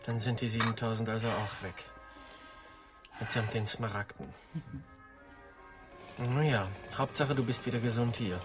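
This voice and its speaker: An actor playing an outwardly caring but calculating young nephew in a German radio drama, with a measured, probing manner. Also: male voice, mid-range voice, steady tone, over music, lightly acted. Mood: soothing, resigned.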